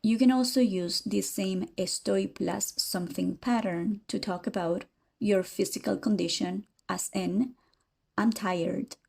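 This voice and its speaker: A woman teaching a language lesson in a scripted, careful way, saying each example phrase and then repeating it more slowly. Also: medium pitch, steady tone, creaky, darkish tone, breathy edge.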